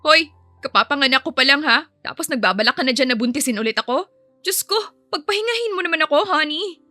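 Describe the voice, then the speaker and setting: Casting: woman as an affectionate but exasperated young wife in a story, high and emphatic, music behind. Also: lively intonation, fast, pushed and bright, no echo. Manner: scolding, emphatic. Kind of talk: audio story dialogue.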